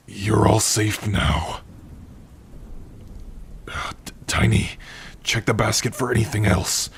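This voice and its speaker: A man voicing a gentle, protective orc in an ASMR roleplay, speaking softly and haltingly to his partner in a husky, breathy voice. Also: fairly low pitch, a little hiss or hum. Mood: soothing, hushed. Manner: soft, halting, hushed, intimate.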